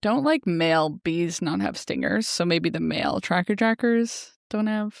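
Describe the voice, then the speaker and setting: Lively, lowish-voiced woman in casual chat. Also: normal speed, vocal fry, dry recording, clean studio sound. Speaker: podcast co-host. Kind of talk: casual book discussion chat.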